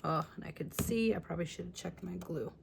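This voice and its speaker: A woman with a slightly lowish, raspy voice talks through a paper-crafting tutorial in her home craft room. She chats casually and keeps apologizing in little asides as she works. A faint hum from a fan sits underneath. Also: fast talker. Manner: chatty, apologetic asides while working. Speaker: scrapbooking tutorial host. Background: fan running.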